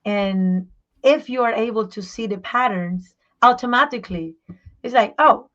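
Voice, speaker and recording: A woman voice teacher and singer, talking in a casual interview, animated and explaining with examples, sometimes searching for her words in English. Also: a little high, unhurried, highs cut off. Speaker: voice teacher and singer, podcast guest.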